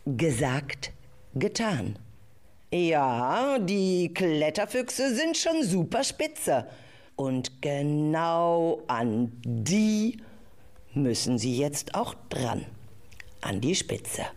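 A woman narrating a children's audio play as an expressive storyteller, with exclamations and questions put to the listener. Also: deep voice, very slow. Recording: loud music behind the voice. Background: music.

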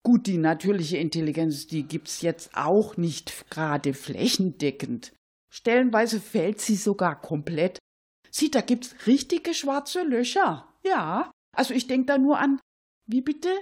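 A woman's voice: mid-range voice, expressive, measured pace, darkish tone, breathy edge. A woman playing a gossipy, opinionated Swabian cleaning lady in a comic radio monologue, folksy and mock-naive. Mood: opinionated, chatty. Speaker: cleaning lady character in a satirical radio show.

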